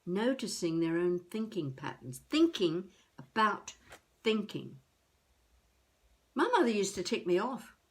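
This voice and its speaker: Elderly would-be novelist woman: unhurried, halting, wry, self-mocking video-diary monologue. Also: medium pitch, sing-song. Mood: reflective. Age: older. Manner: musing, halting, talking to herself.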